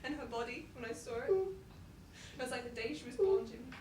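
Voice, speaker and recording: An actress plays a middle-aged mother torn between anger and love, her voice high. She is heard from afar across a reverberant stage, with constant noise underneath. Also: animated, moderate pace, natural voice. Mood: tender. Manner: sharp accusation turning to tender grief. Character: grieving mother, angry yet loving. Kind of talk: stage drama monologue. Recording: echoey stage from a distant mic, noisy.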